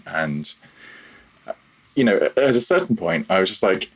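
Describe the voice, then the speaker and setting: Podcast guest, male, deep and slightly rough voice, informal, meandering delivery. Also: very animated, normal speed, a bit bright. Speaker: podcast guest, audio programmer. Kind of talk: casual interview, telling own career story.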